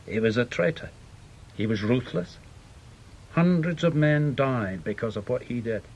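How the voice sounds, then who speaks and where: A man's voice: medium pitch, expressive, a little slow, darkish tone, dull audio, slight background noise. An actor playing a bitter, blunt veteran British intelligence man in a radio drama, with an emphatic, scornful manner. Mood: grave, emphatic.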